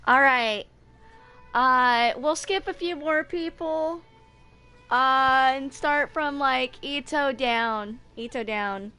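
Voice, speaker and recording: A woman in her twenties chatting casually and haltingly to herself during gameplay, with game music behind her. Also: sits high, sharp tone, smooth. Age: 20s. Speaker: gaming video creator. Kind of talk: casual off-the-cuff gameplay chat.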